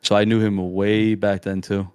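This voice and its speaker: A young man in casual interview chat, talking in a strong monotone, laid-back and slangy, rambling with restarts. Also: fairly low pitch, moderate pace, clean tone, dry recording, clean studio sound. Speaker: amateur esports player, guest.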